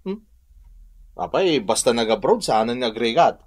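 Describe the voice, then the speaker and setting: Animated, nosy Filipino village husband. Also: male voice, medium pitch, measured pace. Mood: curious, sceptical. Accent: Northern Luzon Filipino. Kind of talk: radio comedy drama acting.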